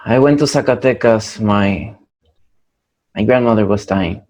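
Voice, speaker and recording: A man's voice: on the low side, measured pace, a little mellow, close to an everyday voice. Man playing an earnest young Mexican man. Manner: earnest, mixing Spanish phrases. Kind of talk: play reading.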